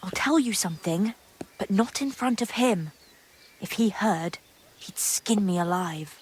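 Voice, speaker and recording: A woman's voice: medium pitch, expressive, a little slow, darkish tone. A woman playing a gossipy, guarded young monastery maid in a medieval video game drama, secretive and earthy in her talk. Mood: frightened, hushed. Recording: clear background ambient noise.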